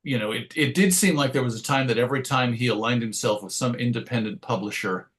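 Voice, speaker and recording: Male interviewee, comics writer. Medium pitch, conversational, thoughtful, prone to rambling and rephrasing. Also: fast, a bit bright. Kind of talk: conversational interview answers, reflective.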